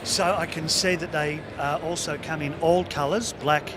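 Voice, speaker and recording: A man's voice: on the high side, steady tone, moderate pace, background noise. A man casually interviewing about products on location at a trade show. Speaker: interviewer from an audio shop.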